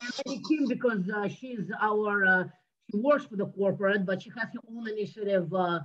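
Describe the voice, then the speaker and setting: A woman hosting an online meeting, introducing each guest in a halting way with many filler sounds. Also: medium pitch, a bit bright. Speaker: meeting host introducing board members. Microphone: video call. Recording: muffled lo-fi call audio.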